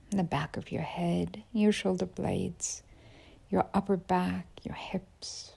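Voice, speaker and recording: Woman, meditation teacher, very gravelly vocal fry, mellow dark tone, soft breathy endings, unhurried pauses. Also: on the low side. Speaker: yoga nidra teacher. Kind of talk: guided meditation.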